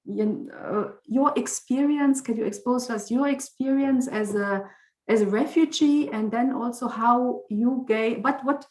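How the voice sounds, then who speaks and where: Woman moderating a video call, roomy. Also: a little high. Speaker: moderator of an online debate. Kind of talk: formal event moderation.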